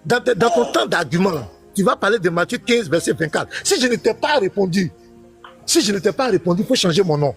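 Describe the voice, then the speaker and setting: A man's voice: high voice, expressive, a little fast, vocal fry, breathy edge, soft background music. A man, a pastor, arguing insistently in a heated live-stream debate, cutting in and repeating himself.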